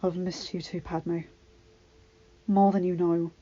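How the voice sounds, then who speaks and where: A woman's voice: lowish voice, level tone, slow, dark tone, dull audio. A woman voicing a devoted young male hero, an earnest and protective husband, in an emotional story reading. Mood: consoling.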